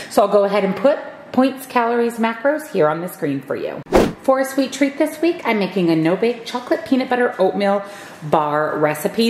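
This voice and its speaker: A woman's voice: medium pitch, darkish tone, echoey room, a little hiss or hum. A woman giving upbeat step-by-step meal prep instructions in her home kitchen. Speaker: cooking vlogger.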